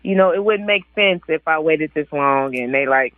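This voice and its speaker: A woman's voice: deep voice, normal speed, no echo. A woman in her forties, an author and guest on a casual radio interview, chatty and laughing often as she quotes other people. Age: 40s. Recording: dry tinny phone line.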